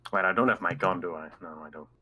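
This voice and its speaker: A man's voice: low-pitched, fast, crowd noise. A man taking part as a player in a tabletop roleplaying game, chatting casually.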